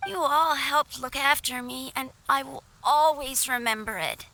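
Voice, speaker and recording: A woman voicing a sweet, excitable little boy in a video game cutscene, with noise in the background. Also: high voice, unhurried, heavily acted. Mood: grateful, wistful.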